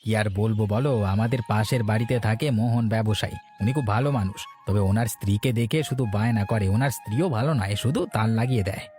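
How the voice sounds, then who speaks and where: An actor voicing a meek, hard-up peddler husband in a cartoon, placating and eager to please. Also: male voice, lowish voice, quick pace, few pauses, a little mellow, faint music behind, close to an everyday voice. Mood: resigned. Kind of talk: Bengali cartoon voice acting.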